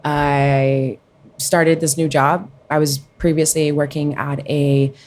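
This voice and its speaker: A woman's voice: low voice, flat delivery, slow pace, darkish tone. A woman in her twenties, raised in Colombia and New Jersey with Spanish as her first language, chatting informally as a podcast guest. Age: 20s. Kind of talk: casual chat, personal storytelling.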